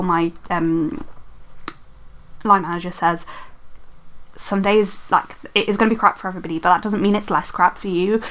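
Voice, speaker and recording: A young woman talks her way through her week in a loose, rambling voice-over, full of ums and quick self-corrections. Her throat sounds a touch dry, and she records at home in a quiet room. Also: medium pitch. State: slightly dry throat from dehydration. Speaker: planner vlogger. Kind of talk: casual chatty voice-over.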